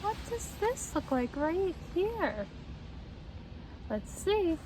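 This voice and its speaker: A young woman reading a children's book aloud in a deliberate, expressive way, explaining and asking the listeners questions. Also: very high-pitched. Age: young adult. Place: outdoors on a front step by a house door. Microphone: camera at a short distance. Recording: faint outdoor street noise.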